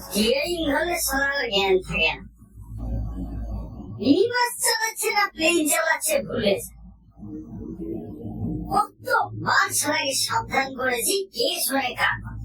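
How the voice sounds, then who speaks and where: A coarse, grumbling ghost of a bus conductor, played by a woman, shouting and complaining in a high voice that swings wildly up and down. The voice sounds roomy and set back from the mic, with music playing underneath, like a horror audio drama. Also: sing-song, measured pace, long pauses, heavily acted. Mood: ranting. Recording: echoey, distant, under music.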